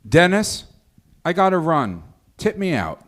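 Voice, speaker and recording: A man's voice: a little high, sing-song, a little slow. A man playing a defensive, exasperated woman in a live sitcom table read.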